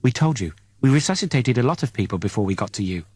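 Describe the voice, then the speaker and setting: A man's voice: medium pitch, lively intonation, fast talker, a little mellow, slightly rough, no echo. A defensive female crew member in a science-fiction audiobook, played by a male narrator in his mid-range voice. She sounds shaken and guarded. The recording is dry. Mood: defensive, grim. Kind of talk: audiobook character voice.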